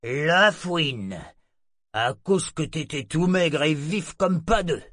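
A man's voice: on the high side, expressive, slow, a bit bright, no room sound, clean studio sound, voice pushed up. A man doing a slurring drunk old sailor. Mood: boozy, rambling. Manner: slurred, mumbling drunken talk. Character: drunk old male sailor, gap-toothed, boastful.

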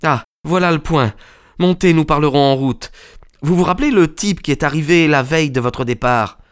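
A man voicing a distraught young gentleman in an audiobook story, agitated and emphatic. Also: a little high, normal speed, smooth, pitched above the performer's own voice, natural voice. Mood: agitated, bitter.

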